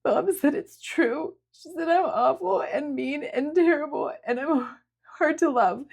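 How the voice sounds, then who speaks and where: A woman's voice: sits high, measured pace, creaky, darkish tone, voice pushed up. A woman voicing a guilt-ridden, needy young woman in a dramatic audiobook, tearful and pleading. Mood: anguished, sobbing. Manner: sobbing, pleading, self-accusing. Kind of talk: dramatic audiobook character acting.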